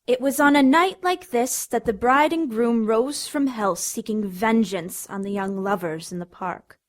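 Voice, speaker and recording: A woman's voice: on the high side, unhurried, close to an everyday voice. An actress playing a teasing teenage girl in a radio drama, theatrical and taunting. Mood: spooky, relishing. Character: teasing teenage girl telling a scary story. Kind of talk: radio drama, spooky storytelling.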